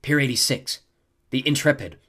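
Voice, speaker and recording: A man's voice: medium pitch, moderate pace, bright, forceful tone, pitched above the performer's own voice. A man voicing a tough, angry teenage warrior girl who growls and snaps defensively. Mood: grumbling. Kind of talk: audiobook character voice.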